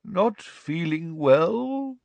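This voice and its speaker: A man's voice: on the high side, expressive, drawn-out words, gravelly, darkish tone, no echo, voice pushed up, heavily acted. A man voicing a vague, bewildered male professor who speaks hazily in a storybook reading. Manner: hazy, hesitant.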